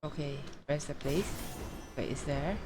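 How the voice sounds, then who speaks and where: A woman's voice: low voice, a little slow, background noise. A woman streaming a video game live, commenting casually to herself as she plays.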